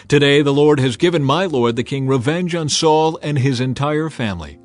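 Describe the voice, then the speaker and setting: A man's voice: fairly high pitch, animated, moderate pace, pitched above the performer's own voice, natural voice. Guy doing an eager raiding captain, proud and emphatic, ancient story audiobook with music. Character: two eager, boastful male raiding captains.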